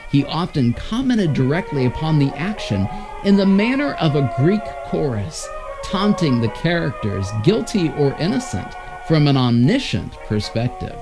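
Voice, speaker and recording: A man's voice: on the high side, low-quality recording. A man presenting an old-radio showcase in scripted narration.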